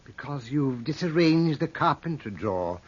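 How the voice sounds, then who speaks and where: Polite sly butler from an old radio play, formal, a bit raspy, dull muffled sound. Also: male voice, a little high, sing-song, normal speed. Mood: deferential. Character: deferential household butler with sly insinuating streak. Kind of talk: 1940s radio drama acting.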